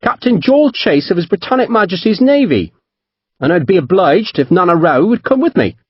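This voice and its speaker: A man's voice: high voice, sing-song, moderate pace, breathy edge, dull audio, pitched above the performer's own voice, heavily acted. A man voicing a friendly, courteous naval captain with a Devonshire accent. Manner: courteous and breezy.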